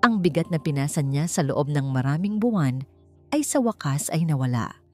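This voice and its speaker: A woman with a fairly low voice reads a romantic drama as a storyteller. Her delivery is measured, suspenseful and melodramatic. Music plays clearly beneath the narration. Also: animated, warm tone.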